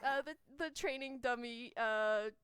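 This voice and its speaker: A woman game master with a high, lively voice narrates casually and with amusement. She speaks at an unhurried pace in a dry, close recording. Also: smooth, no echo. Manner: giggly, amused.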